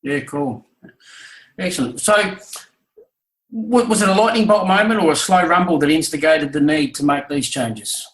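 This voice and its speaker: Higher-voiced male interviewer in an echoey room. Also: a bit bright. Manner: informal, halting phrasing, affirming. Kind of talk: conversational interviewing.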